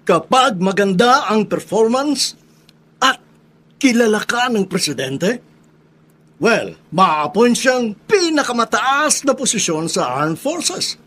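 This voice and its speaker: Radio drama casting: proud Filipino ex-colonel, high-pitched, slow, emphatic, strict father type. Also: very animated, fairly bright tone, heavily acted. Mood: proud, authoritative. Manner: emphatic, deliberate, repeats words.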